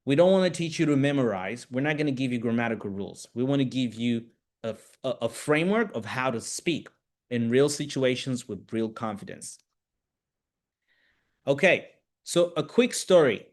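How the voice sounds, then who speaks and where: A man's voice: medium pitch, lively intonation, moderate pace. Encouraging man teaching English live. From Tanzania. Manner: encouraging, direct, speaks straight to the viewer. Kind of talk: live webinar talk to viewers.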